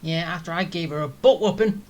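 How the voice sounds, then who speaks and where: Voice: mid-range voice, fairly quick, a little mellow, slight background noise. A gaming streamer giving casual, joking playthrough commentary with muttered asides to the game.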